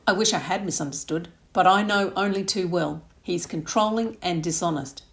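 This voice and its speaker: A woman voicing a smooth, self-pitying young man in an audiobook, indignant and self-justifying. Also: on the low side, normal speed, lightly acted. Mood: aggrieved.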